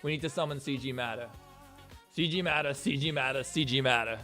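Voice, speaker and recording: A man with a New York accent and a mid-range voice streams while he works. He talks in a loose, slangy, animated way, joking and swearing freely. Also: mid-range voice, a little fast, soft background music. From Queens, New York. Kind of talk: casual reacting and chat while working.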